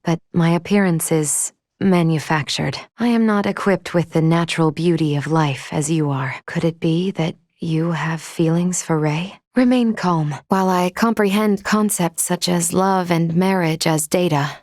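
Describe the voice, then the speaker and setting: A woman plays a logical female android crew member from a video game. Her voice sits a little low, with formal, precise phrasing and a composed, matter-of-fact manner. The sound is close and dry, with no room around it. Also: unhurried, few pauses, darkish tone, no room sound, close to an everyday voice. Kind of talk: video game character voice acting.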